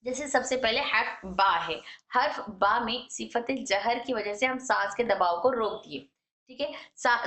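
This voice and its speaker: A woman's voice: a little high, pushed and bright, reverberant. A woman teaching a recitation lesson, patiently repeating each sound and phrase. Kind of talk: tajweed lesson.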